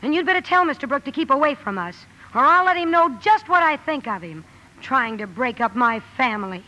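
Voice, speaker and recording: A woman's voice: high-pitched, expressive, brisk, pushed and bright, muffled recording. An actress playing a headstrong, brusque tomboy young woman who wants to write, in old-time radio drama style. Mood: indignant. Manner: brusque, emphatic. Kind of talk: 1940s-50s radio drama acting. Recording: noisy vintage radio broadcast recording.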